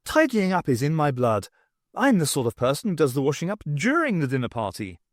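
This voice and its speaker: A man voicing a wry, weary, self-aware woman adventurer in an audiobook, brisk and exasperated. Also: on the high side, wildly swooping pitch, dry recording, studio quality. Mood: resigned.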